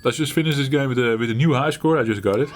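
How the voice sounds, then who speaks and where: A middle-aged man hosting his retro gaming channel, talking while he plays in a halting, reflective way, searching for words and laughing at himself. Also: mid-range voice, a faint crowd, a little hiss or hum. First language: Dutch. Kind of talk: reflective gameplay commentary.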